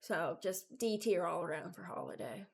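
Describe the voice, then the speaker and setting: A woman's voice: fairly low pitch, sing-song, moderate pace, creaky, a little mellow. A young adult woman giving informal, candid commentary as she ranks things, rambling as she thinks aloud. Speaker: video creator. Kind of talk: casual ranking commentary.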